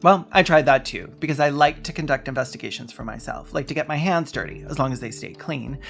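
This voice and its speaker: Video essay host, medium pitch, sarcastic and dry-witted scripted narration, light music underneath. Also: a little fast, vocal fry. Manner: sarcastic, wry deadpan jokes. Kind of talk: scripted narration with comic asides.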